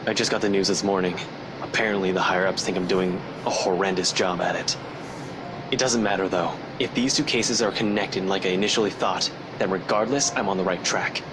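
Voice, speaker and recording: A man's voice: deep voice, fairly even intonation, brisk, background noise, natural voice. An actor playing a stern, dry-witted detective in a visual novel game, firm and clipped, protective of his friends. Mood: determined. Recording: music behind the voice.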